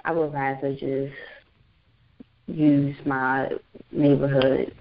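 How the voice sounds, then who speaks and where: A woman's voice: extremely low pitch, flat delivery, slight background noise. A woman in her twenties from Newark, a guest author and radio host, chatting casually and loosely with lots of fillers. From Newark, New Jersey. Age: 20s. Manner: chatty, loose, with many fillers and quick laughs. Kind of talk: casual phone interview chat. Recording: muffled lo-fi call-in audio.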